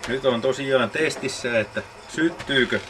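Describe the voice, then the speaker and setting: Man on noisy camcorder audio. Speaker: amateur video maker demonstrating an experiment. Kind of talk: casual narrated demonstration. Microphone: camcorder microphone. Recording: noisy low-quality old camcorder audio.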